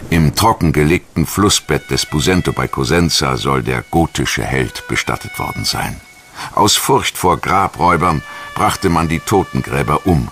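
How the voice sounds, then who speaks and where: A man's voice: a bass voice, animated, moderate pace, a bit bright, a little hiss or hum. A man narrating a documentary in a scripted style, as narrator and voice-over.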